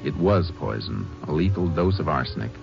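An actor playing a calm, methodical Texas Ranger who questions politely and evenly in an old-time radio western. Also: male voice, rumbling low, a little fast, creaky, over music, exaggerated voice. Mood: calm, businesslike. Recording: muffled, noisy vintage radio recording.

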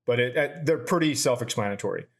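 A man's voice: mid-range voice, fast talker, gravelly. Seorang pria membawakan obrolan komedi santai, membacakan kiriman dengan datar dan sering mengumpat. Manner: profane, animated storytelling with deadpan read-outs. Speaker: podcast co-host. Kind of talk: casual comedy chat, reading out items.

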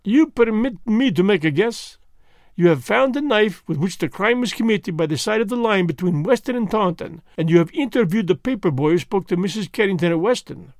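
A man's voice: sits high, expressive, fairly quick, no echo, pitched above the performer's own voice. A man reading the part of a fussy, vain elderly Belgian detective who speaks precisely and drops French words. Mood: placid reasoning.

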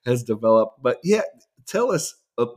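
A man's voice: medium pitch, very animated, normal speed. A man hosting a podcast, talking with a guest in a friendly, informal way. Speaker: podcast host. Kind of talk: conversational interview.

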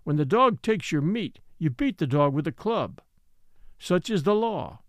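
A man's voice: on the high side, animated, no room sound, studio quality. Man voicing a solemn old Native storyteller. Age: older. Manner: simple broken English, slow rhetorical questions.

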